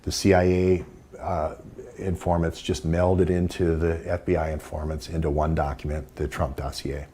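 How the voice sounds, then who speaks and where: A man with a very low voice narrates like an investigative journalist, pausing and restarting his sentences as he explains. He sounds deep and unhurried, piecing his account together with frequent fillers. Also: flat delivery, warm tone, a little hiss or hum. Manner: halting, many fillers and restarts.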